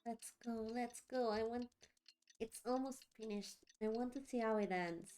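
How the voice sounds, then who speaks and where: A woman's voice: on the high side, moderate pace, dark tone. A woman streamer playing a video game, giving casual let's-play chat. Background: game music.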